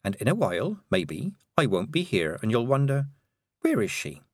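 A man voicing a calm young witch girl who speaks with quiet, steady menace. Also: mid-range voice, normal speed, creaky, no room sound, clean studio sound, pitched above the performer's own voice.

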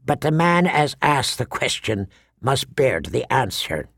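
A man voicing a gruff, sour old member of a sworn brotherhood in an audiobook, blunt and grunting, with a gravelly voice. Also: mid-range voice, very animated, a little slow, fairly bright tone, dry recording, clean studio sound, full character voice. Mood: grim.

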